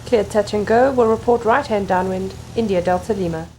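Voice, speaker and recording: A woman's voice: medium pitch, brisk, background noise, close to an everyday voice. A woman playing a pilot, making scripted calls to the tower and reading back instructions. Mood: procedural. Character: female pilot on final approach. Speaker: pilot in practice exercises. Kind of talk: scripted radio call readbacks.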